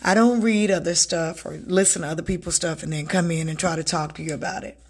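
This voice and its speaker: A woman hosting an unscripted self-help podcast, with a raspy voice that sounds a little strained from a recent illness, talking earnestly and repeating words for emphasis. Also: fairly low pitch, steady tone, fast talker, a little mellow. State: recovering from illness, slightly strained voice. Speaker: podcast host.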